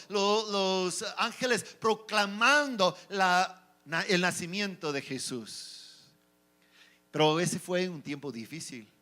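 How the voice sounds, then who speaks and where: A man's voice: high voice, pushed and bright. A man preaching a Sunday sermon in Spanish with a non-native accent, asking rhetorical questions and often restarting words. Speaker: pastor.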